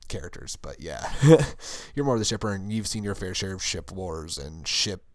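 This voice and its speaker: A young man co-hosting a casual chat show, his voice hoarse, deep and gravelly from screaming, rambling upbeat and laughing often. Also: very animated, moderate pace, crowd noise. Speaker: podcast co-host.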